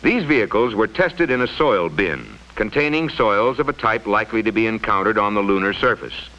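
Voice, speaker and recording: Casting: male narrator for a mid-century science film, explanatory and formal, animated intonation, muffled lo-fi vintage sound. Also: fairly low pitch, moderate pace.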